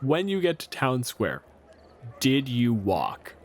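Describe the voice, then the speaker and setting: A man's voice: mid-range voice, huge pitch swings, takes it slowly. A man improvising a tabletop game story as host, casual and joking, with profanity. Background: music.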